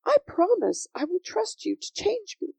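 A woman's voice: very high-pitched, very animated, normal speed, creaky, darkish tone, no room sound, voice pushed up, exaggerated voice. A woman voicing an earnest, polite little girl in a children's storybook, eager and full of breathless awe. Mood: excited.

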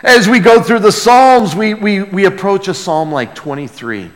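A man preaching a sermon as a pastor, earnest and emphatic, moving between hushed lines and loud exclamation, with rhetorical questions to the congregation. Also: high voice, lively intonation, normal speed. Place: large reverberant room, preaching to a congregation. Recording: long hall reverb.

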